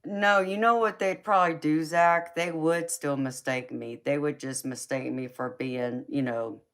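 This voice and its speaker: Southern woman, young, unhurried and chatty, laughs a lot, talks back to her live-stream viewers. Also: low voice, steady tone. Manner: chatty, laughs often, reads out and answers viewer comments. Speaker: tarot reader and livestream host. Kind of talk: casual livestream chat with viewers.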